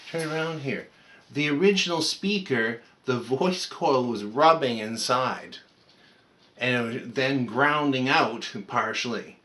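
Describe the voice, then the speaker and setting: A man's voice: mid-range voice, sharp tone. A man talking casually and conversationally through a repair as a hobbyist, unscripted and a little rambling.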